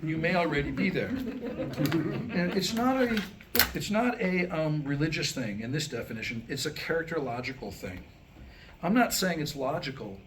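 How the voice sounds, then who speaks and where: An American man with a slightly high voice delivers a comic monologue to a live audience. He is wry and self-deprecating, setting up lines deliberately and pausing for effect. The live recording carries faint background noise. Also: very animated. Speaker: writer and performer reading his own new comic script. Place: live staged reading before a small audience. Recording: noisy live room recording.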